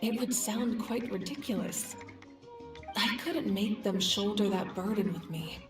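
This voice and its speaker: A woman playing a dignified, resolute ruler in a video game, with a measured, formal, burdened but composed delivery. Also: mid-range voice, lightly acted. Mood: reflective, resolute. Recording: roomy echo, music behind.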